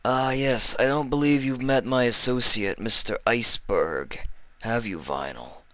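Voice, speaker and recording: A man's voice: medium pitch, a little slow, bright, forceful tone, no echo, voice pushed up. Man acting a smug, suave villain doctor stallion. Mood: smug, mock polite. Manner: mock-courteous, condescending. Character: sinister, suave male villain pony, a doctor, mockingly polite.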